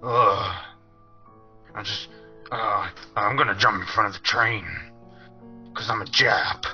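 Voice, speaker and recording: A man's voice: fairly low pitch, steady tone, takes it slowly. A man doing a blunt, foul-mouthed, improvised comedy dub as an amateur voice actor. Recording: dull lo-fi sound with music.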